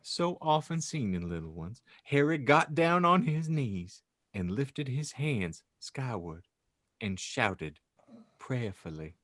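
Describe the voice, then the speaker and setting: Slow, dry-voiced man narrating. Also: medium pitch, sing-song, fairly bright tone, no echo. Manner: dry, mock-serious reading, breaking into laughs. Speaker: podcast host and narrator. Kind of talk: comic fan fiction narration.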